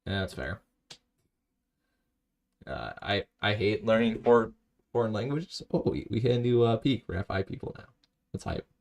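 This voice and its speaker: A man streaming a video game, talking to himself in a rambling, low-key way and reading chat aloud. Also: lively intonation, normal speed, vocal fry, long pauses. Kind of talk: casual live-stream commentary while playing.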